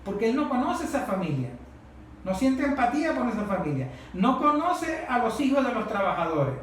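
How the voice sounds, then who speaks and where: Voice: mid-range voice, big pitch swings, moderate pace. The speaker has a Venezuelan accent and leads a political discussion with emphatic, didactic delivery, repeating phrases to drive points home. The recording is heavily reverberant, with faint noise underneath. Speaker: discussion leader. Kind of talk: impassioned political talk to a group. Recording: very echoey room, faint noise.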